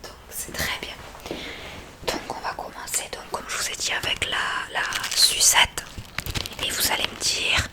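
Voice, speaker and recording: A woman whispers breathily as an ASMR examiner, gently and attentively explaining a hearing test step by step to the listener. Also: unhurried. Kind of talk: whispered ASMR medical roleplay, addressing the listener.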